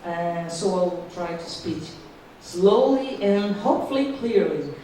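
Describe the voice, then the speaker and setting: A Brazilian woman with a Portuguese accent, a native Portuguese speaker, giving an academic panel talk in English carefully, with halting restarts. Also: fairly low pitch, animated, mellow, a little hiss or hum. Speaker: panel speaker, law professor. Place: conference hall. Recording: distant mic in echoey hall.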